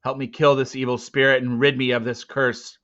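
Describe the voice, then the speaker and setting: A man's voice: mid-range voice, level tone, fairly quick, fairly bright tone, dull audio, natural voice. A man voicing a big, furious, bitter hunter in a storybook, sneering and spitting out his words. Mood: vengeful. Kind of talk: storybook character acting.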